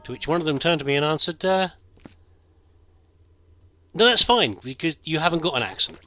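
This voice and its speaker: A middle-aged British man with a soft accent, telling a rambling, self-deprecating story over gameplay, full of stops and restarts. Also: on the high side, lively intonation, moderate pace, no echo, faint music behind. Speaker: gameplay commentator.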